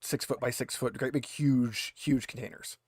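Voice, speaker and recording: A man narrating a tabletop roleplaying game as game master, casual and explanatory, repeating himself haltingly. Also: medium pitch, fairly even intonation, husky, no echo, studio quality.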